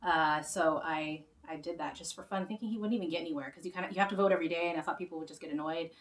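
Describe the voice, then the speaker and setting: A woman's voice: deep voice, racing. A woman hosting her own music show, chatting casually to viewers in a rambling way with many restarts, her voice a little worn. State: slightly losing her voice. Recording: roomy sound, mic far off, faint guitar.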